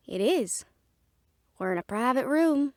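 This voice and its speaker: A woman's voice: high voice, animated, measured pace, darkish tone, no room sound, studio quality. A woman playing a sarcastic, defiant young half-fae daughter in an audio drama, with a snappy manner. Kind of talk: dramatic audio roleplay acting.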